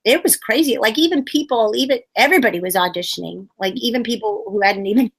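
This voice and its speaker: A middle-aged woman puppeteer telling stories in a casual interview, bubbly and animated, acting out quotes in high voices. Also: quick pace, husky.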